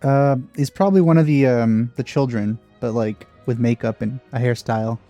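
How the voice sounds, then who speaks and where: A man's voice: medium pitch, normal speed, dark tone, faint music behind. A man giving casual, wry commentary over a game he is playing. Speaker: let's play commentator.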